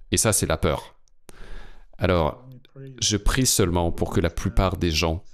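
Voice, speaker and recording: A man voicing a guest's translated interview answers, speaking earnestly with repeated phrases. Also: deep voice. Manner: earnest, advising, repetitive phrasing. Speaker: French voice-over interpreter for a guest.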